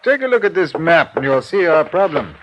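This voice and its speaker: An actor playing an affable, understated British colonial police inspector in 1950s radio drama style, with courteous clipped idioms. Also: male voice, fairly high pitch, very animated, a little fast, highs cut off. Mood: businesslike. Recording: noisy old radio broadcast recording.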